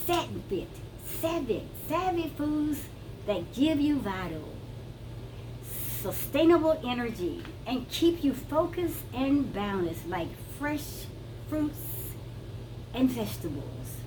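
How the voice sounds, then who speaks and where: Brazilian-accented woman, emphatic and high-voiced. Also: very animated, slow pace. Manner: emphatic, repeats words, addresses viewers as "people". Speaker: wellness vlogger. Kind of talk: casual teaching monologue. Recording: noticeable background noise.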